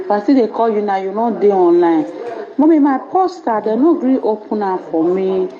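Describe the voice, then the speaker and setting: A woman's voice: mid-range voice, expressive, moderate pace, lo-fi sound. A Nigerian woman calling in to a live show, pleading and complaining as she lays out her troubles. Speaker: caller.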